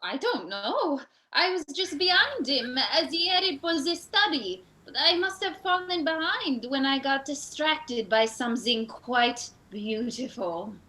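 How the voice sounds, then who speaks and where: A woman's voice: high voice, animated, measured pace, hardly any pauses, pushed and bright, full character voice, poor audio. A woman playing a vain, flirtatious, theatrical entertainer in a comic radio play, dreamy and flamboyant.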